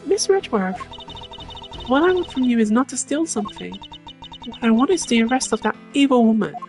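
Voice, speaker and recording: A woman voicing a spirited, idealistic teenage girl thief from a video game, with a perky, earnest, expressive delivery. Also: fairly high pitch, normal speed. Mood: earnest, pleading. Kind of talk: voiced reading of video game dialogue. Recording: game music behind the voice. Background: game music.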